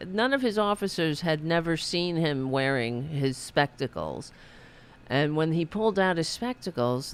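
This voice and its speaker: A man hosts a podcast and rants through a political monologue with growing heat. He speaks slowly, in a smooth, clear voice. He often stops and restarts his sentences. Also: medium pitch, a bit bright. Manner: impassioned, ranting, many restarts. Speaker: podcast host.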